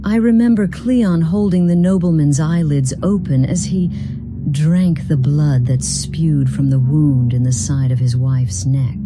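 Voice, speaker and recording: A woman playing a grim, bitterly reproachful companion in a fantasy game, speaking with solemn, accusing weight. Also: sits low. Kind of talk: dramatic game mod voice acting. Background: music.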